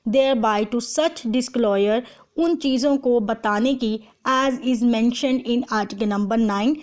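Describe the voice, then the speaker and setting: High-voiced Pakistani woman lecturing. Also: a little mellow. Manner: mixes Urdu with English terms, reads clauses slowly and deliberately. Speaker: law lecturer. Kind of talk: explanatory lecture with phrase-by-phrase translation.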